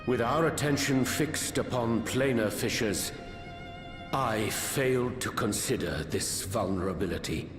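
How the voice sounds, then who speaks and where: An actor playing a grave, courtly scholar-warrior in a fantasy video game, speaking in formal, archaic phrases with a solemn, measured manner. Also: male voice, medium pitch, reverberant, in a large hall, over music, close to an everyday voice. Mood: grave concern.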